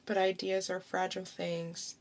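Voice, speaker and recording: A woman reading a narrator's part in a measured, reflective way during an amateur dramatic reading. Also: fairly low pitch, monotone, natural voice. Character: calm grown daughter narrating her own birth.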